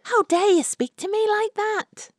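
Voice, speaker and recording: Woman putting on a high-pitched, wilful young lady. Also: a little slow, no room sound, clean studio sound. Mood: indignant. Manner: imperious, pouting.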